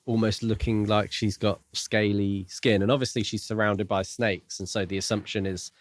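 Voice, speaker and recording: A man's voice: lowish voice, flat delivery, moderate pace, a little hiss or hum. A man co-hosting a podcast, musing and speculating in a casual discussion about a book. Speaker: podcast co-host.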